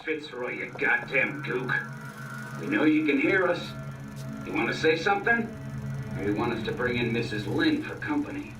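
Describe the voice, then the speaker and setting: A man's voice: medium pitch, lively intonation, moderate pace, lightly acted. Guy acting a mean thug interrogator in a game, bullying, distant n echoey with music. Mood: menacing. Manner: sneering, bullying. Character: cruel, threatening male interrogator thug. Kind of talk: video game villain acting.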